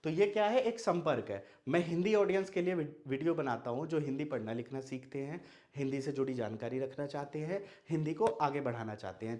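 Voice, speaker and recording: A man's voice: a little high, darkish tone. A young man from Bihar whose first language is Maithili, teaching an online Hindi lesson. He asks questions and then answers them himself. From Madhubani, Bihar, India. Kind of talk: explanatory video lesson.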